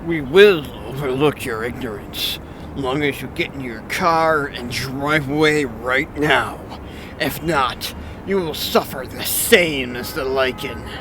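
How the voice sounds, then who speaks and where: Man acting as cold hooded male villain, menacing, mid-pitched, clear background noise, horror audio drama. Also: takes it slowly, lightly acted. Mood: cold, threatening.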